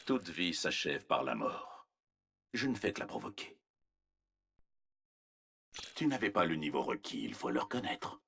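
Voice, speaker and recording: A very low-pitched cold scientist villain for a video game. His voice is husky and he speaks slowly, with clinical condescension and quiet menace. The delivery swings widely in pitch while staying arrogant and measured. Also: very animated, heavily acted. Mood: detached menace. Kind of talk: scripted video game character voice lines.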